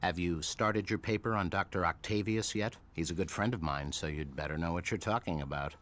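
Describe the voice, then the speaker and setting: A man's voice: low voice, a little fast, a bit bright. An actor playing a stern male science professor who lectures a struggling student. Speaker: university professor.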